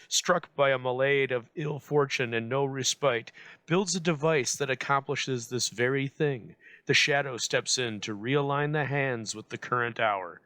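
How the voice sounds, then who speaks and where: Bright, crisp-edged male narrator. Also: mid-range voice, no echo. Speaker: podcast host. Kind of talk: scripted dramatic narration.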